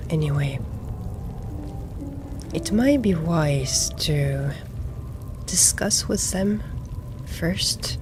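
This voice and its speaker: Slow, low-voiced woman role-playing a game. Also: music in the background. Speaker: gameplay host role-playing a drow ranger. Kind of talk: in-character game commentary.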